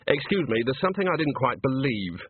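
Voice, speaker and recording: Earnest young man, acted by a male reader, halting, awkward, mild, animated pitch, phone-like recording. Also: husky, dry recording. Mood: puzzled. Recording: thin narrow-band phone-like sound.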